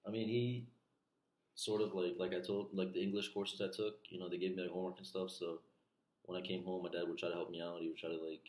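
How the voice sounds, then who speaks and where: A young man from Iran, whose first language is Farsi, talks in a very low voice. He answers slowly and hesitantly, with lots of fillers. His voice bounces around a small, echoey bedroom. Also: level tone, darkish tone. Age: young adult. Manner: hesitant, many fillers like "like" and "you know". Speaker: interviewee. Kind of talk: casual interview. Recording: echoey room.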